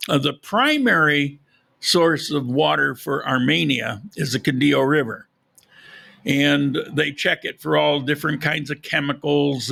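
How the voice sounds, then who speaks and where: A man's voice: a little high, vocal fry, bright, forceful tone. A man hosting a live podcast, rambling casually with frequent restarts and losing his voice. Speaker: podcast host. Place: lives in Colombia.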